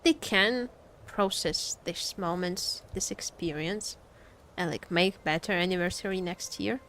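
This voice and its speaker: A woman's voice: on the low side, unhurried, a bit bright, slight background noise. A woman live-streaming a game, chatting casually and expressively, often repeating her phrases. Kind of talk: casual livestream chat while gaming.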